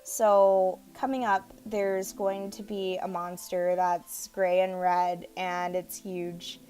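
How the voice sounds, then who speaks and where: A woman with a raspy, sore throat from allergies, chatting casually in a home voice-over about a video game. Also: fairly low pitch, level tone, takes it slowly. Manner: chatty, easygoing, a bit quiet. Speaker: gaming YouTuber. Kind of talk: casual gameplay voice-over commentary. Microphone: home voice-over mic. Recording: voice-over with game music behind.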